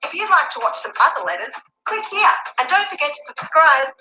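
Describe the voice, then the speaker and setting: A woman narrating a children's alphabet video in simple, deliberate phrases. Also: sits high, big pitch swings, low-quality recording. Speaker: presenter of a children's phonics video. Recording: loud music behind the voice.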